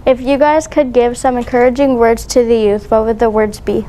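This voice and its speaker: A woman's voice: a little high, level tone, normal speed, mellow. A woman speaking casually as a vlog host and interviewer. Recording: faint background noise.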